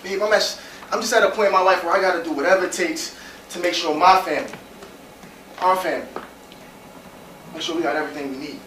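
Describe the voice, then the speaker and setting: A man's voice: high voice, fast, long pauses, a bit bright. A man acting on stage as a frustrated, determined young American man, pleading and insistent in slangy speech. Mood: frustrated, defiant. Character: frustrated, determined young man hustling for his pregnant partner. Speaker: stage actor. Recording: roomy distant stage recording with hiss.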